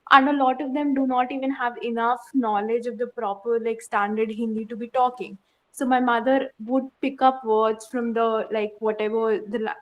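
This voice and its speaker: A female student speaks up in an online class. Her voice is slightly high, and she talks fluently, often filling with "like". Her talk is casual and engaged. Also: slight background noise, low-quality recording.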